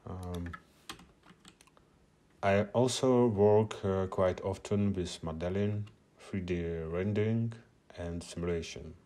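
A man's voice: sits low, takes it slowly, pauses often, warm tone. A man, a non-native English speaker whose first language is Russian, giving a hesitant screen-share tutorial at a meetup with many filler sounds. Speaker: media artist presenting at a meetup.

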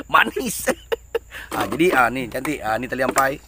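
A man's voice: mid-range voice, very animated, slow pace, background noise. A middle-aged man with a Malaysian Malay accent giving casual, laughing commentary while fishing from a small boat on a river. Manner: chatty, laughing often, teasing his companion. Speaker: fishing vlogger.

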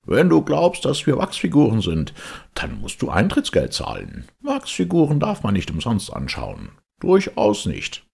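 A man's voice: medium pitch, wildly swooping pitch, unhurried, gravelly, darkish tone, breathy edge, pitched above the performer's own voice, full character voice. A man voicing a fat, schoolboy-like little man, contrary and emphatic, in a storybook reading. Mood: indignant.

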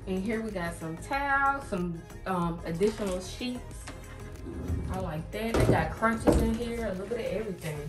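A woman in her twenties talks casually to her vlog viewers in a mid-pitched voice. The sound is echoey, picked up on a handheld camera, with music behind her. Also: normal speed. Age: 20s. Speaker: vlogger. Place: indoors in condo rooms and inside a car.

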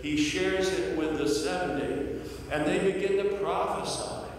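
An older man preaching a sermon in a large church sanctuary, telling the story with playful asides and lively voiced quotes. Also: medium pitch, a little hiss or hum. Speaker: pastor. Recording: echoey hall from far off.

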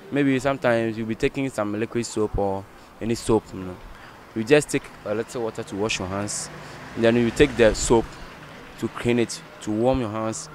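A man's voice: fairly low pitch, slight background noise. A young man explaining handwashing step by step in plain English to a street interviewer. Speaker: interviewee, mechanic. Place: outdoors at a workshop. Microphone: handheld mic held by interviewer.

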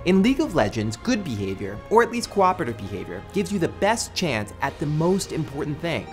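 A man's voice: fairly high pitch, very animated, a little fast. An American man in his thirties delivers a scripted video essay to camera as a host, with an emphatic, wry, conversational delivery. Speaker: web show host. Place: small studio set indoors. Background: music bed.

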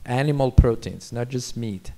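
A man's voice: medium pitch, normal speed, mellow. A man from Italy with an Italian accent, a doctor answering questions informally on a panel, speaking into a handheld microphone. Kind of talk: informal expert Q&A. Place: auditorium stage.

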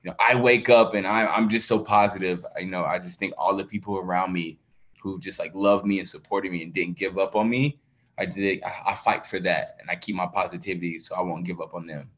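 A man's voice: low voice, steady tone, a little fast, fairly bright tone. A man in his thirties on a video call, answering interview questions earnestly, repeating words and using many fillers. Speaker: documentary subject, athlete. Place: indoor room with plain wall, video call. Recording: dull lo-fi video call audio.

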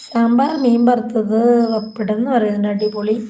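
A woman's voice: on the high side, steady tone, moderate pace, warm tone, slight background noise, poor audio. A woman hosting a casual livestream, chatting with viewers, asking them questions and reading out their comments. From Kerala, India. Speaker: livestream host. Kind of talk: casual live chat with viewers.

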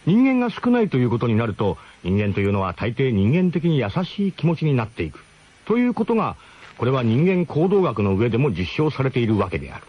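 An actor playing a brash, mock-grandiose expedition leader who narrates a comic radio drama in pompous formal phrasing. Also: male voice, mid-range voice, very animated, brisk, breathy edge, muffled recording. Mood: mock-serious, lecturing.

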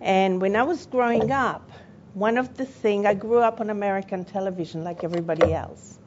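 A woman with a foreign accent speaks on a conference panel in an earnest, personal way. Her voice sits at a middle pitch. The recording is dull and lo-fi with a faint low hum behind it. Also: fairly quick. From born in Israel, raised in Europe and Australia. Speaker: panelist, television network owner. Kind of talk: panel presentation. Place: conference room at a workshop.

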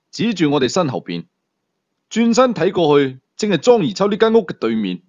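A man's voice: on the high side, a little mellow, lo-fi sound. A man reading a thriller story as dramatic scripted narration. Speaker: audiobook storyteller.

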